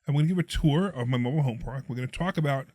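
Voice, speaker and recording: A middle-aged man from Pennsylvania with a hoarse, froggy voice, telling a story as a podcast guest in an animated way. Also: mid-range voice, very fast, no room sound. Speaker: podcast guest, real estate investor. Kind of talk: casual storytelling chat.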